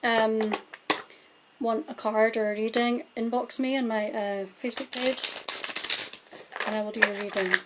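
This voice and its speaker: A woman's voice: a little high, steady tone, unhurried, long pauses, very noisy. A Scottish woman doing a casual live-stream tarot reading, thinking aloud and trailing off as she turns the cards. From Scotland. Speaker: tarot card reader. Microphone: phone or webcam on a live stream.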